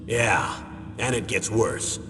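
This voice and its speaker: An actor playing a stern older military commander, giving a grave, deliberate mission briefing in a video game. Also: male voice, on the low side, over music. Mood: grave, explanatory. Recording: reverberant, noisy game audio clip.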